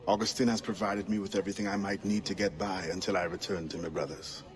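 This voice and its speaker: An actor playing a proud, guarded assassin who was once enslaved, speaking in a grave, formal, wary way in a video game cutscene. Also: male voice, deep voice, steady tone, fast talker, close to an everyday voice. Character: proud, guarded freed-slave assassin, adult man.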